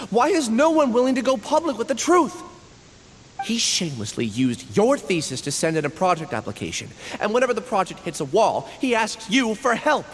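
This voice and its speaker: A man voicing an indignant young male student in a video game, pleading and protesting. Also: sits high. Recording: long reverb, faint hiss.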